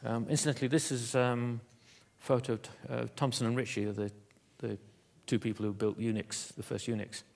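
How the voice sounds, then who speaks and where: A man giving a conference keynote in an auditorium, talking in a halting way with many fillers and restarts. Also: mid-range voice, flat delivery, vocal fry, darkish tone. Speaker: keynote speaker.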